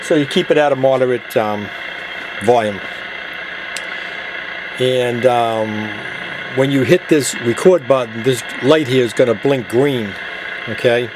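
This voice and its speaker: A man's voice: mid-range voice, a little slow, long pauses, noisy background. A man giving a casual, plain-spoken hands-on product demonstration, explaining it step by step. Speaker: product demonstrator, CB radio gadget seller.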